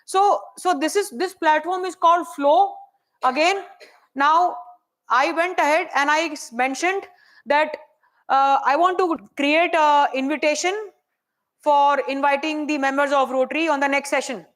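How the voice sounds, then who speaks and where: A soft-spoken woman with an Indian English accent, teaching a hands-on AI workshop and checking in with the room often. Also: high-pitched. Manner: chatty, checks in often with "right?" and "okay?". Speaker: AI workshop presenter.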